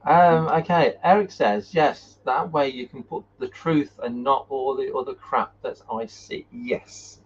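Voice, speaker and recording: A man's voice: mid-range voice, measured pace, hardly any pauses. Muffled man hosting a casual live chat. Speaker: host of a paranormal live chat. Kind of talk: casual live chat, reading out viewer comments. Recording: muffled, noisy live-stream audio.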